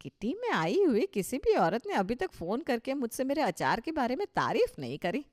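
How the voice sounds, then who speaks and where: A woman's voice: fairly high pitch, very animated, moderate pace, darkish tone. A woman playing a proud, touchy older mother-in-law in a cartoon story, talking emphatically and with self-satisfaction. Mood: expectant. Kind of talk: Hindi cartoon story dialogue.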